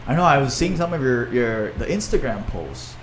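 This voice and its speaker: A male podcast host whose pitch swings widely as he reacts. He jumps in with excited exclamations and easy, chatty questions. There is a faint hiss in the background. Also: normal speed. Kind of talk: casual podcast interview.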